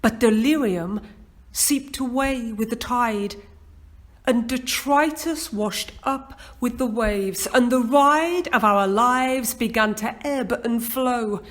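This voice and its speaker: A middle-aged woman reads her poem aloud with a slightly high voice. She takes her time, and her delivery is measured and expressive. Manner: slow, measured, expressive recitation. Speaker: poet. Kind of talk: poetry reading.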